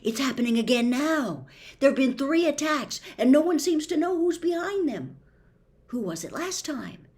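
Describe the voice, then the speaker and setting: A woman's voice: sits high, very animated, moderate pace, darkish tone, voice pushed up. A woman voicing an eager young schoolboy wizard, urgent and breathless as he writes back.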